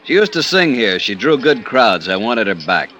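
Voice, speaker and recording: An American actor playing a stubborn, evasive supper club manager in a 1950s radio detective drama. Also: male voice, medium pitch, big pitch swings, moderate pace, pushed and bright, highs cut off. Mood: defensive, stubborn. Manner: curt, evasive, defensive. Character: guarded, stubborn male supper club manager hiding something.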